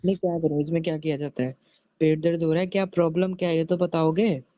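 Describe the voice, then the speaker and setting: A man acts out a concerned young husband in a homemade couple skit. He has a mellow, dark tone and a coaxing, chiding manner, repeating his pleas. The audio is dry and somewhat lo-fi. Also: on the high side, flat delivery, fast, no room sound, close to an everyday voice. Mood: soothing, impatient. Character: concerned young husband, soothing yet scolding his wife. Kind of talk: acted phone-recording style couple skit in casual speech. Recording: dull, lo-fi audio.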